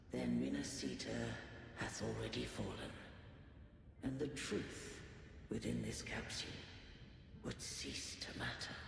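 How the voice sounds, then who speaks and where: A man's voice: mid-range voice, vocal fry, a little hiss or hum, full character voice. An actor playing an ancient, solemn male guardian of a fallen civilization in a video game, with grave, stately, archaic speech. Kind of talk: dramatic video game voice acting. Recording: long echoing reverb, music underneath. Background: game music.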